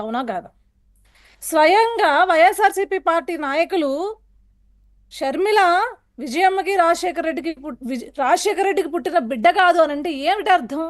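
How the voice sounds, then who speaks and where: A woman with a very high, piercing pitch. She argues as a guest on a political TV panel. Her delivery is forceful and accusatory, full of rhetorical questions. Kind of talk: heated television political debate.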